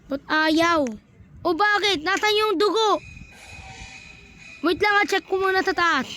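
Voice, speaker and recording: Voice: very high-pitched, measured pace, bright, forceful tone. An exclamatory, slangy voice acting out an improvised horror skit as a series of phone calls, with music behind.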